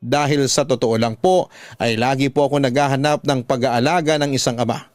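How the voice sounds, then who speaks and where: A man's voice: medium pitch, steady tone, brisk, no room sound, clean studio sound, faint music behind. A man with a Filipino accent, a radio drama host reading out a listener's letter as a dramatic story and giving advice.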